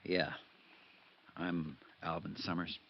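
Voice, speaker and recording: Muffled old radio recording, haunted embezzler, very low voice, edgy, bitter. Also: male voice, level tone, slow pace, vocal fry, slightly rough, full character voice. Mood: guarded, menacing. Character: haunted, jittery male embezzler on the run, worn down. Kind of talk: 1950s radio drama acting.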